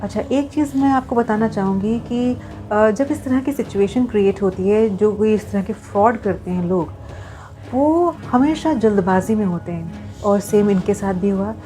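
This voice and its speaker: A woman's voice: medium pitch, normal speed, mellow, soft background music. A woman talking to viewers in an earnest, explanatory vlog style, mixing in English phrases. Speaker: vlogger.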